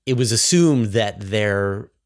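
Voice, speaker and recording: A man's voice: lowish voice, lively intonation, takes it slowly. A middle-aged man hosting an interview, talking conversationally as he asks questions and adds his own comments. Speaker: interviewer, host.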